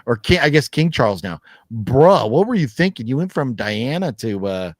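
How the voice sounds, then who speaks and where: A man's voice: medium pitch, very animated, normal speed, no room sound, studio quality. Rambling, cussing man in his fifties. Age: 50s. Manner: rambling, profane and laughing. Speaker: podcast host. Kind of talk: casual livestream chat reading viewer comments.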